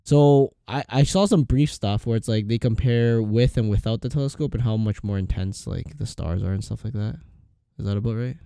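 A man's voice: on the low side, normal speed, creaky, warm tone, clean tone, dry recording, clean studio sound. A young man co-hosting a podcast in loose, rambling, jokey casual chat. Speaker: podcast co-host.

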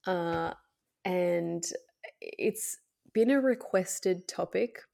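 A woman's voice: on the low side, mellow. Congested, nasal woman hosting a casual podcast. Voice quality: nasal, slightly scratchy. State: congested with a cold, slightly scratchy. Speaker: podcast host. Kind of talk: casual solo podcast talk.

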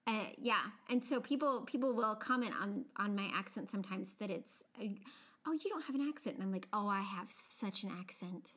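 A woman's voice: medium pitch, fairly quick, a bit bright. An American woman with a subtle regional accent, co-hosting a podcast in a friendly, encouraging way and laughing easily. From United States. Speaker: podcast co-host. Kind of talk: casual podcast chat.

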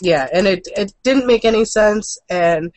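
A woman's voice: medium pitch, unhurried, a little mellow. A woman co-hosting a casual gaming and comics news stream, speaking in a loose, informal way. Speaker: show co-host.

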